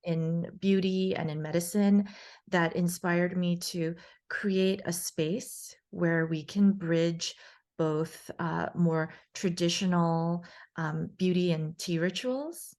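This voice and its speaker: A woman from New York City speaks as an expert interview guest. Her voice sits a little low, and she explains things conversationally in a measured way, pausing often between phrases. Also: darkish tone. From born in South Korea, grew up in New York City. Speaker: guest, facial plastic surgeon.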